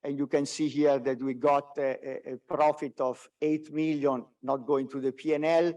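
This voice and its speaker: A man's voice: medium pitch, flat delivery, normal speed, a little mellow. A man who is a non-native English speaker gives a business results presentation as a company executive, hesitating often and repeating words. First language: not English. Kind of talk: business presentation on a conference call. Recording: distant mic, conference call audio.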